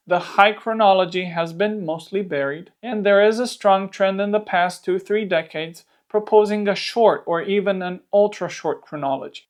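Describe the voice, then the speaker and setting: A presenter with a Romanian accent, a native Romanian speaker, gives a scripted educational narration in English, explaining things clearly with playful asides. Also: medium pitch. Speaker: host of a Bible history channel.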